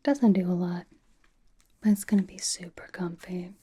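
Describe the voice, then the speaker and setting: A woman's voice: on the low side, steady tone, moderate pace, warm tone, slightly rough. A woman playing a blunt, doting young pop idol in a whispered ASMR roleplay, with a casual, dry, slangy delivery.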